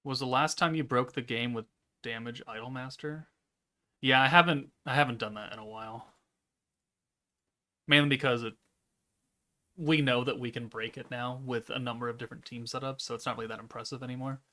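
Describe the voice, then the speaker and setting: A man in his thirties streaming a game from home, chatting casually and reading chat aloud in a monotone, deadpan way. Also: medium pitch, a little fast, pauses often. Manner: monotone, laid-back, deadpan. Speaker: gaming streamer.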